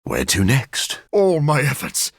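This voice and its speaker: An actor voicing a brooding, dryly witty gentleman vampire scientist in a video game, with a formal, measured, theatrical delivery. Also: medium pitch, wildly swooping pitch, dry recording, studio quality, heavily acted. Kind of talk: scripted video game character lines.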